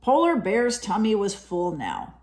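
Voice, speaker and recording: A woman's voice: mid-range voice, huge pitch swings, a little slow, darkish tone. A woman teacher reading a storybook aloud to young children, expressively, with simple explanatory asides.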